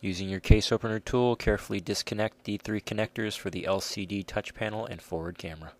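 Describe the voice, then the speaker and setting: Male tutorial narrator, lower register, scripted step-by-step delivery, dry close-miked sound. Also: fairly even intonation, fast, no echo.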